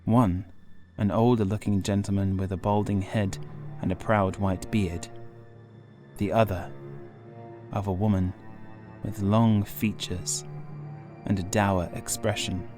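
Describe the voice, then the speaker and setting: A man reading scripted Gothic horror as an audiobook narrator, in a measured, formal, literary delivery. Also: lowish voice, level tone. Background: faint music.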